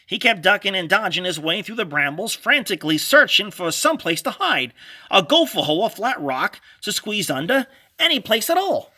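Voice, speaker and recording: A man narrating a children's storybook read-along in a dramatic, expressive, animated way. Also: high-pitched, moderate pace.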